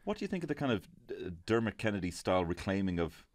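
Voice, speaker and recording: Irish man, very deep voice, lively up-and-down delivery, dry close mic. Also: quick pace, no room sound. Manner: rambling anecdotes, swoops into high mock singing. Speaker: podcast co-host. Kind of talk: casual comedic chat.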